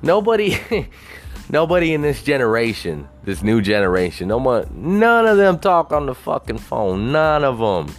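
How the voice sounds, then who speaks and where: A man's voice: medium pitch, big pitch swings, moderate pace, soft background music. A man on a casual, rambling rant, swearing constantly and leaning on "you know" fillers.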